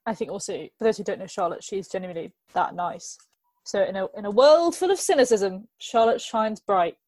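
Young woman podcast host, chatty and jokey, mid pitch, dry close sound. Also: brisk, darkish tone, no echo. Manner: chatty, jokey, self-mocking. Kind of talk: casual chat.

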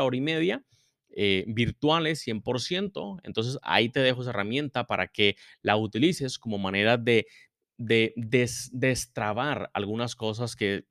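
A man's voice: medium pitch, a bit bright, no room sound, clean studio sound. A man hosting a casual interview podcast from a guest's office, often repeating words and restarting as he talks. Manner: halting, repeats words while reading sponsor spots. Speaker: podcast host.

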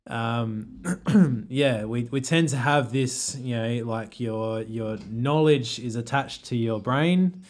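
An Australian pastor chatting on a podcast, hesitant, restarting often and thinking aloud. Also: male voice, mid-range voice, takes it slowly, a little mellow. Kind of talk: casual podcast chat.

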